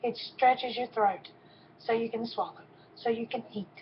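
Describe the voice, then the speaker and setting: A woman in her fifties with a soft voice, giving a personal testimony in a halting way with frequent pauses and ums. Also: fairly high pitch, slight background noise. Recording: dull, lo-fi recording.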